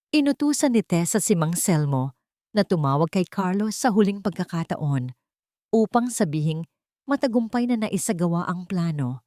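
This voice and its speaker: A woman narrating a scripted dramatic story in a measured, solemn storytelling manner. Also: medium pitch, mellow, no echo, clean studio sound.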